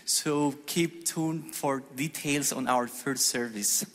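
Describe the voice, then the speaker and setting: A middle-aged man from Colombia with a Colombian Spanish accent, preaching a sermon in an earnest, measured way. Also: medium pitch, a bit bright. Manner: earnest, measured preaching with deliberate pauses on scripture. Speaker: pastor. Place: church hall. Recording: long hall reverb.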